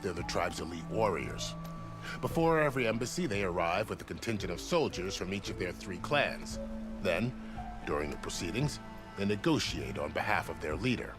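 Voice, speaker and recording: A genial second-in-command in a fantasy video game. His voice sits low and moves animatedly, with a courteous, wry, teasing manner. Prominent music plays behind him. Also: big pitch swings, moderate pace. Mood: wry, explanatory. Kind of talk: video game cutscene acting.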